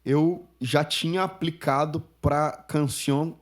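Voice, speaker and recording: A man's voice: a little high, slow. Chatty man telling stories. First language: Spanish. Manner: chatty, animated storyteller, laughs while telling. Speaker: podcast guest. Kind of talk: casual storytelling interview.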